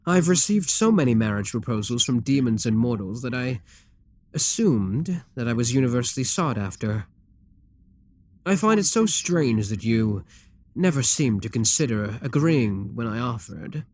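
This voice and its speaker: A man performs a proud demon king for an ASMR roleplay. His voice sits at a medium male pitch and moves through wide, lively swings of intonation. He speaks with courtly, theatrical grandeur, imperious and seductive. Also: measured pace. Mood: wistful, probing.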